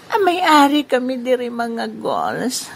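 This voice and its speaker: A woman's voice: high voice, wildly swooping pitch, takes it slowly, slight background noise, full character voice. A woman playing an easygoing server at a small eatery in an amateur audio drama, with a drawling delivery.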